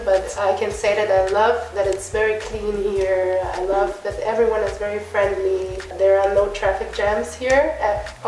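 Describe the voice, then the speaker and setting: A woman's voice: medium pitch, darkish tone, a little hiss or hum. A woman in her twenties from Russia, a guest chatting casually on an interview show, with simple phrasing and small hesitations. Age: 20s. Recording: echoey room from a distant mic.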